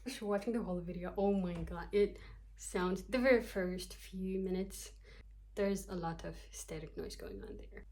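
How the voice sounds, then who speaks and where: A woman's voice: mid-range voice, darkish tone. A young woman from Kyrgyzstan in her twenties, a psychology student, chatting casually to camera, joking at herself and loosely reading from her notes. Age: 20s. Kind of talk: casual talking-to-camera vlog.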